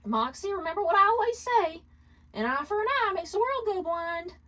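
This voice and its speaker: Woman playing manipulative ex-wife, high voice, brash, defensive, pleading, fan fiction drama. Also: sing-song, normal speed, pushed and bright, heavily acted. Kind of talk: dramatic fan fiction reading, partly sung.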